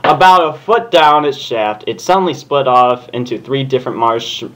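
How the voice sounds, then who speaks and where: A man's voice: medium pitch, moderate pace, a bit bright. A man co-reading fan fiction for comedy, casual, giggly and exasperated in his asides. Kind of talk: amused comedic read-aloud with asides.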